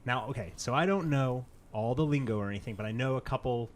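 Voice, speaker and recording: A man's voice: mid-range voice, fast talker, slight background noise. A man co-hosting a podcast, talking casually and informally about gaming news with loose, conversational phrasing. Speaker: podcast co-host.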